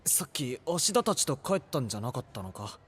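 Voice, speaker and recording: An actor playing an earnest, excitable teenage boy in Japanese anime. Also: medium pitch, racing, slight background noise, close to an everyday voice. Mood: startled, smitten.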